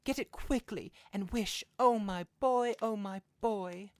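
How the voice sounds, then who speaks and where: A man's voice: sits high, takes it slowly, no echo, pitched above the performer's own voice. A man voicing a desperate old woman, a grieving mother crying out urgently and wildly in a story reading. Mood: excited, desperate. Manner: wild, urgent cries and commands.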